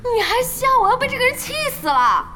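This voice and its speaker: A young woman acting in a Mandarin audio drama as a blunt, quick-tempered team boss with a brisk manner. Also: very high voice, sing-song, music in the background. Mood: exasperated.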